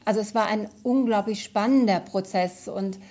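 A woman's voice: mid-range voice, normal speed, a little mellow. A woman radio play director giving a reflective interview answer, thoughtful and searching for her words.

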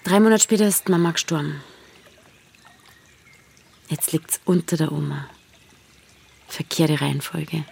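A woman's voice: sits low, a little slow, vocal fry, long pauses, a little mellow, slightly rough, full character voice. An actress playing a blunt, earthy young village woman with a Bavarian accent in a radio drama, dry and plain-spoken. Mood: wry, wistful. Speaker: lead actor and narrator. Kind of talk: radio drama narration and dialogue.

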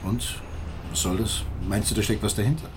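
An actor playing a probing, sceptical police inspector in a radio drama, blunt and questioning. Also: male voice, on the low side, moderate pace, noisy background, natural voice.

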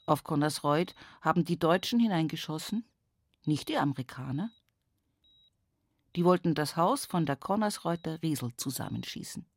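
An actress playing an earthy rural daughter reminiscing at her mother's sickbed in a radio play monologue, intimate and halting, with an Upper Palatinate Bavarian accent. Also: deep voice, slightly rough, dry recording, exaggerated voice.

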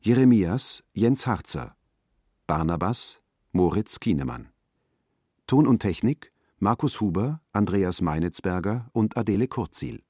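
A man's voice: on the low side, expressive, a little slow, a little mellow, husky, dry recording, studio quality. A man reading a formal scripted announcement as a broadcast credits announcer.

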